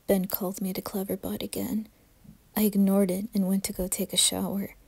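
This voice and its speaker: A woman narrating a first-person horror story with dramatic, anguished intensity and a breathy delivery. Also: medium pitch, little pitch movement, normal speed, darkish tone, dry recording.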